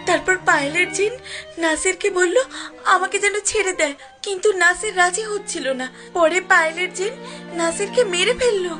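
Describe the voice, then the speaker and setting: A woman's voice: extremely high pitch, moderate pace, music in the background. A woman voicing a frightened village woman in a cartoon, plaintive and pleading. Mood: shaken recounting. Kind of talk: Bengali cartoon voice acting.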